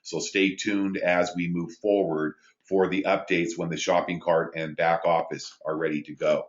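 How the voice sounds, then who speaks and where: A man with a big booming voice hosting a live business training call from a small studio, reading out viewer questions and explaining sign-up steps. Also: little pitch movement, moderate pace, echoey room, lo-fi sound. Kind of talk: live webinar training talk.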